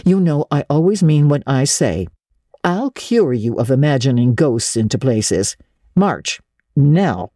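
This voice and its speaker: A woman plays an unyielding older guardian from a classic storybook. Her voice is low, dark and husky, and she speaks in a firm, clipped, unsympathetic way with no patience for nonsense. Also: big pitch swings, a little slow, dry recording, clean studio sound, full character voice. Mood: unfeeling.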